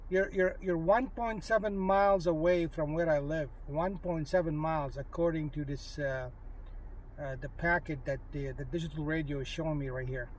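A man chatting casually and politely as an amateur radio operator, speaking in a halting, informal way. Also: fairly high pitch, a little hiss or hum, rough recording. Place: inside a parked vehicle. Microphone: handheld smartphone.

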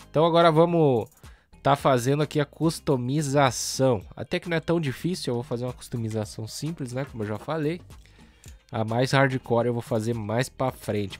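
Male hobby vlogger, Brazilian accent, hoarse and stuffy nasal voice, relaxed chatty slang. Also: mid-range voice. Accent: Brazilian Portuguese. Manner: relaxed slangy chatter, talks while working. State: hoarse and congested from a cold. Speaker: toy truck customizing YouTuber. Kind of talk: casual hands-on tutorial narration.

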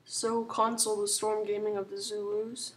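A woman with a sore throat and a hoarse, sick voice, rambling softly through casual strategy game commentary with lots of ums and likes. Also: medium pitch, flat delivery, normal speed, low-quality recording. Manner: rambling, full of "like" and "um", soft-spoken. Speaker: gaming channel host.

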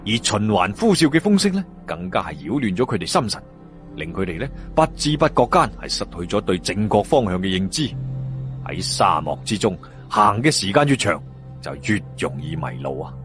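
A man with a Hong Kong Cantonese accent narrating a fantasy story in a dramatic, expressive, colloquial way with drawn-out particles. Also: fairly low pitch. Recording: music behind the voice.

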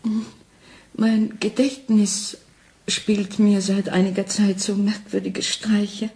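An actress playing a frightened wife who doubts her sanity, speaking anxiously and haltingly in a German crime radio play. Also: medium pitch, level tone, darkish tone. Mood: troubled. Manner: anxious, halting, intense, dropping into whispers.

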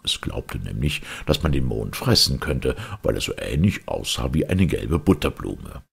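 A man reading a children's story aloud as an expressive narrator. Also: rumbling low, normal speed, gravelly, husky. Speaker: storybook reader.

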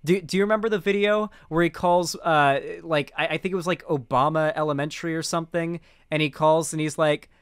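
A young American man with a slightly high voice reacts as a live-streamer. He is indignant and sarcastic, stumbling over words and swearing freely. The sound is close and fairly dry. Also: fairly even intonation, smooth, dry recording. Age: 20s. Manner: indignant, sarcastic, halting, profane. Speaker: live-streamer, political commentator. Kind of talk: casual reaction commentary. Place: small home streaming room. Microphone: close boom mic.

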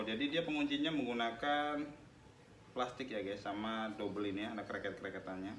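A young man hosting a casual helmet review vlog, chatting to his viewers as he takes the helmet apart. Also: medium pitch. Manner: chatty, addresses viewers as guys. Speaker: motovlog host reviewing a helmet. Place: small room with tiled wall, indoors. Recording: echoey room, noisy background, mic at a distance.

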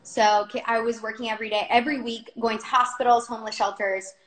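Higher-voiced young woman in an echoey room. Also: flat delivery, fast. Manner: candid, informal, swears. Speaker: interview guest, reality show contestant. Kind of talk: casual live chat.

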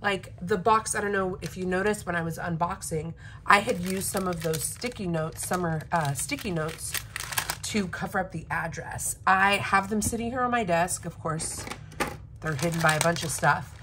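A woman with a slightly raspy voice from a recent illness chats casually and eagerly at her desk, now and then clearing her throat. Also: on the low side, normal speed, pushed and bright, a little hiss or hum. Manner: enthusiastic, chatty, frequent throat clearing. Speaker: planner vlogger. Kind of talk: casual unboxing chat. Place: home desk.

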